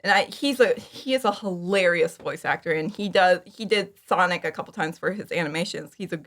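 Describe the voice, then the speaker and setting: A woman's voice: on the low side, brisk, fairly bright tone. A woman talking casually and informally as an interview guest, chatty and prone to acting out her own remarks. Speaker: podcast guest, fan audio drama creator.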